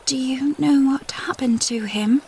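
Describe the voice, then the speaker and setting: An actress playing a young, inquisitive princess in an audio drama, speaking in an earnest, questioning way. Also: female voice, sits high, unhurried, a little mellow, slight background noise. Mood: curious.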